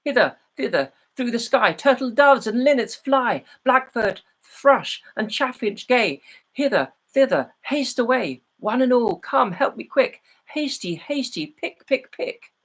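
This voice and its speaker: A man's voice: very high voice, animated, measured pace, fairly bright tone, pitched above the performer's own voice, heavily acted. A man voicing a young maiden who calls out pleading rhymes in a chanting way, as in a storybook. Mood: calling, pleading. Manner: chanting, calling out.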